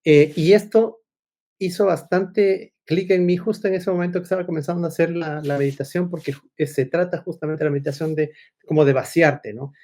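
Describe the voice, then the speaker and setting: A middle-aged man from Ecuador speaks in a fairly high voice. He talks like a guest in a casual conversation, telling things in a roundabout way and adding small tag questions. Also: fairly even intonation, fairly quick, a little mellow. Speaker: podcast guest, agile consultant and coach.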